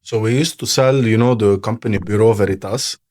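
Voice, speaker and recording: A man's voice: on the low side, fairly quick, a little mellow. A man in his thirties from Lebanon, a podcast guest in real estate sales, talking casually with repetitive, emphatic phrasing in English.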